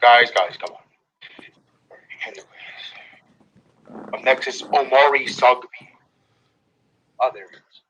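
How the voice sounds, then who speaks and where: A man's voice: medium pitch, unhurried, long pauses, sharp tone, muffled recording, slight background noise. A man hosting a gaming video, playfully running a mock roll call over voice chat with crude teasing. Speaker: gaming channel host. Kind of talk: joking voice chat while role-playing a roll call.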